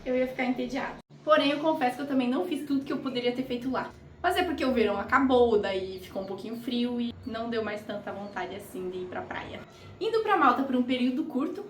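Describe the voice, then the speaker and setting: Young woman, animated casual vlog talk, echoey room, faint background hum. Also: a little high, far from the mic. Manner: chatty, animated, jokey asides. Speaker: vlogger. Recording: roomy echo, off-mic.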